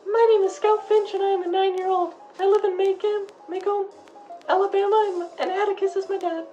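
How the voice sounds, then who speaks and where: A very high, childlike voice over music: a woman acting a nine-year-old tomboy girl in a radio play. She is blunt, indignant and ready to argue with grown-ups. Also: little pitch movement, fairly quick, mellow, lo-fi sound. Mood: earnest, chatty. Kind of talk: acted radio interview for a school project.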